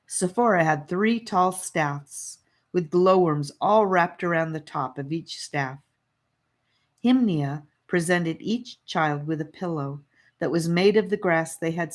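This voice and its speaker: A woman reading a children's fantasy audiobook, narrating in a careful, expressive storytelling manner. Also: on the low side, slow pace. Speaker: audiobook reader.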